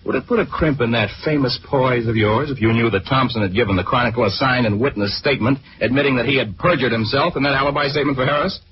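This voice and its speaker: A brash young newspaperman, played by a male actor, talks in slangy, taunting style with a middle-pitched voice. The 1940s radio drama recording is dull and clearly noisy. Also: fast, few pauses, close to an everyday voice. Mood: taunting, angry.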